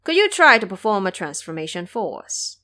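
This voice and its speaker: A woman's voice: mid-range voice, very animated, brisk, bright, forceful tone. A woman voicing a timid, courteous king with formal, stately speech in an audio drama. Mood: curious, courteous.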